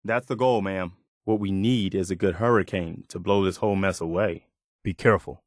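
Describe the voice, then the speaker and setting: An actor playing an earnest, dutiful militia soldier in a post-apocalyptic video game, speaking in a plain, folksy way. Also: male voice, sits low, normal speed, dry recording, clean studio sound, close to an everyday voice. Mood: earnest, wary. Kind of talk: video game companion voice lines.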